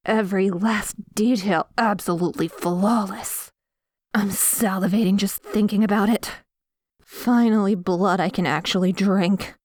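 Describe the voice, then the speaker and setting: A woman playing a dominant, obsessive vampire in a scripted ASMR roleplay, savouring her words with breathy sighs and slips into whispers, commanding and condescending. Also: medium pitch, a little mellow, no echo, studio quality, close to an everyday voice. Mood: enraptured, hungry. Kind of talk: scripted ASMR roleplay audio, addressing the listener.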